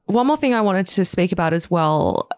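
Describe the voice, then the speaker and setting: Lady interviewer, kinda low voice, sympathetic and chatty, dry close sound. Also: animated, normal speed, a little mellow, no room sound. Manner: sympathetic, conversational. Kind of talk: podcast interview.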